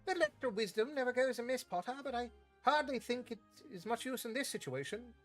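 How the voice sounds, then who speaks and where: A man voicing a tiny, fussy old male charms professor with a squeaky voice. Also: lively intonation, brisk, faint music behind.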